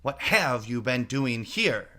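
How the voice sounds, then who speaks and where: A man voicing a domineering, furious queen from a storybook, shouting severely and imperiously. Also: medium pitch, very animated, slow pace, exaggerated voice.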